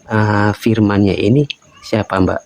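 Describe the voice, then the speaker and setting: A man putting questions in a debate over a voice call, halting and restarting while he keeps checking for agreement. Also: fairly low pitch, fairly even intonation, creaky, a little mellow. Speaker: caller questioning a guest.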